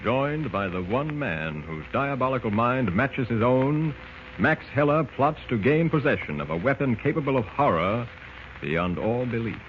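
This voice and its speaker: A male announcer of a vintage radio serial, urgent and emphatic. His delivery is highly animated, rising and falling dramatically. The recording sounds dull and scratchy, with some noise. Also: moderate pace. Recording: scratchy, muffled old-time radio recording.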